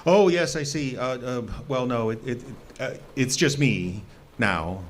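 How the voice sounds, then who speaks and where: An actor playing an anxious, secretive hermit scientist in an audio drama, halting and stammering as he pleads. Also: medium pitch, a little hiss or hum, close to an everyday voice. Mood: flustered, evasive.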